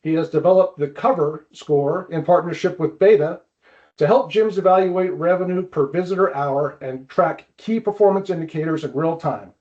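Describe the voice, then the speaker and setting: A man hosting a podcast in a casual interview style, with a halting delivery that often repeats words. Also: fairly high pitch, a little mellow, muffled recording. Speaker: podcast host.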